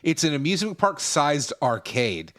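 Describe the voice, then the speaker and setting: A man co-hosting a casual gaming podcast, opinionated and given to deadpan sarcasm. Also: fairly high pitch, moderate pace, no room sound, clean studio sound. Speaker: podcast co-host.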